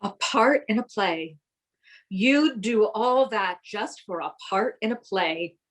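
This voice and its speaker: Husky, excitable society wife played by a woman. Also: medium pitch, takes it slowly. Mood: firm, indignant. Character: warm-hearted society wife, excitable, primly indignant. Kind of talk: radio play acting.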